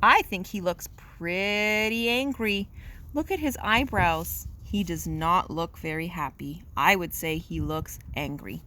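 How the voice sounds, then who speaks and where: A woman's voice: fairly low pitch, slow, sharp tone. A woman reading a children's picture book aloud in a simple, teacherly, explanatory manner. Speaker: read-aloud storyteller.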